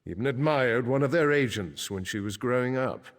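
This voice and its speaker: An actor playing a proud, worried dark elf father and nobleman, speaking in a guarded, formal, measured way in a video game. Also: medium pitch, animated, natural voice. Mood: grave concern. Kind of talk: video game dialogue acting. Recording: long hall reverb, faint music behind.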